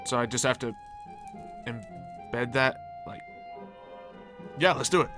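A man's voice: medium pitch, slow, close to an everyday voice. A man playing a cocky, reckless werewolf second-in-command in an improvised game roleplay, talking in a flippant, impulsive, casual way. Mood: flippant, eager. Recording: music behind the voice. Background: background music.

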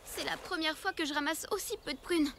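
A woman voicing a sensible, eager young cave girl in a French cartoon, earnest and expressive. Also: sits high, a little fast, background noise. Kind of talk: French animated cartoon dub acting. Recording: music under the dialogue.

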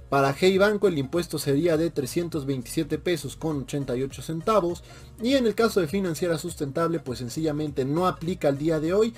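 A young man with a Mexican accent, a personal finance video host, briskly walking through investment sums from a small room, with playful asides. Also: on the high side, a little mellow. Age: young adult. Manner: brisk and upbeat, reads out numbers with playful asides. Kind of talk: explainer vlog walking through calculations. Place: small bedroom with shelves, indoors.

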